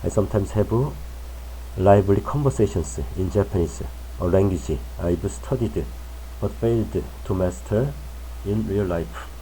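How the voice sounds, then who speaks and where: Slow, deep-voiced man reading news. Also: fairly even intonation, warm tone, a little hiss or hum, poor audio. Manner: slow, careful, measured reading. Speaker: English news reader. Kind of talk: reading an article aloud.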